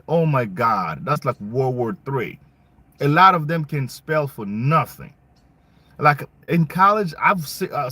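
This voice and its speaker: A man's voice: fairly high pitch, fairly bright tone, no room sound. A young man from Congo giving a casual, emphatic opinion monologue full of rhetorical questions, his not English. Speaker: vlogger.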